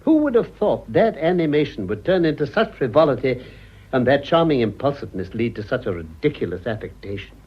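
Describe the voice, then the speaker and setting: An actor playing a worldly, sardonic old English gentleman with polished manners, in a radio drama. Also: mid-range voice, big pitch swings, a little fast, breathy edge. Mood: amused. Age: older. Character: cynical, urbane old English gentleman, amused and cutting. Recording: muffled radio recording.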